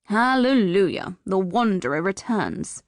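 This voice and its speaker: A woman voicing a stern but kindly female teacher in a storybook, with a brisk, bossy, scolding manner. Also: mid-range voice, animated, no room sound, studio quality. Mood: brisk, teasing.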